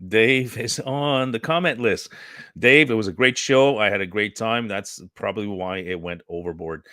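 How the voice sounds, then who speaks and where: A man hosting a live-streamed talk show in a casual chatty way, hoarse and losing his voice, rambling and clearing his throat often. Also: fairly low pitch, normal speed, bright, forceful tone, dry recording, studio quality. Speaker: host.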